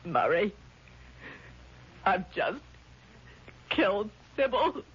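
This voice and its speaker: An actress playing a distraught, accusing sister, grieving and frightened, in intense 1970s radio drama style. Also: female voice, medium pitch, very animated, very slow, slightly rough, full character voice. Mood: numb, bleak. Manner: intense, melodramatic, emphatic. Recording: dull, noisy vintage radio recording.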